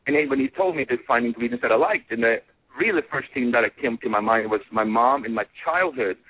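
A man's voice: mid-range voice, quick pace, slightly rough. Man on a tinny phone line. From Norway. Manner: animated, many fillers and restarts. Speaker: guest, fragrance designer. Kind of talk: casual storytelling in an interview.

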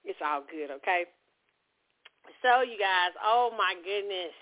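A woman hosting her own late-night talk show, recovering from a cold with a slightly hoarse voice, talking in a chatty, excitable way. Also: medium pitch, slow pace. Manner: chatty and excitable, with laughs and repeated exclamations. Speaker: host. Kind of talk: casual late-night podcast chat. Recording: tinny phone-line sound.

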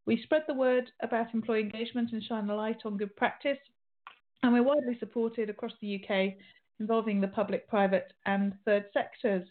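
A middle-aged woman hosts an interview show in a relaxed, chatty way, often restarting her sentences. Her voice sits fairly high. The sound is thin and tinny, like a phone line. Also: a little fast. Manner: chatty, with frequent restarts and fillers. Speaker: radio show host.

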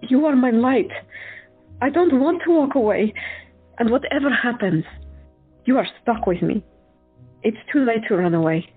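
Woman plays devoted doctor, audio drama, tender and earnest, slightly high voice, phone-thin sound. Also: expressive, normal speed, pauses often, breathy edge, narrow, tinny audio, faint music behind.

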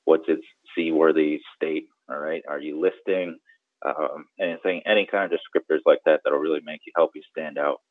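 A man's voice: a bass voice, fairly even intonation, moderate pace, no room sound, phone-quality sound. A man giving conversational, step-by-step instruction as an expert guest, often checking in with the listener. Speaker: guest boating radio expert.

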